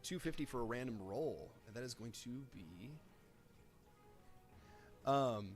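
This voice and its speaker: A man runs a tabletop game live as its game master. He improvises the narration as he goes, often halting to search for the next word. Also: mid-range voice, lively intonation, soft background music.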